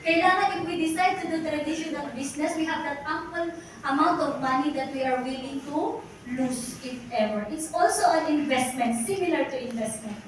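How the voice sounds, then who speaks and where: A Filipino woman in her forties with a high voice delivers a personal testimonial to a crowd, moving between English and Tagalog. She keeps calling out to the ladies and gentlemen and asking rhetorical questions. She sounds far from the mic in a very echoey hall with noise behind her. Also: brisk. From Philippines. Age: 40s. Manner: addresses the audience directly again and again. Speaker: motivational speaker at a company event. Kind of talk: testimonial speech to an audience. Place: large echoey event hall.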